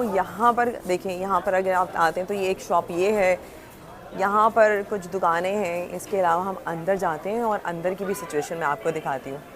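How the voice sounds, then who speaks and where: A woman with a Karachi Pakistani accent hosts a casual on-location vlog, explaining things conversationally as she shows the place around and asks questions. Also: mid-range voice. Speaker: host and reporter. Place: on location outside and inside a shopping centre. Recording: noisy location with traffic ambience.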